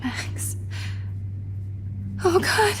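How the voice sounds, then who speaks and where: An actress playing a frightened, remorseful eighteen-year-old captive student in a video game, pleading and faltering. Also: female voice, extremely slow, long reverb tail. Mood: frightened, tearful. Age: young adult. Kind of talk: video game cutscene acting.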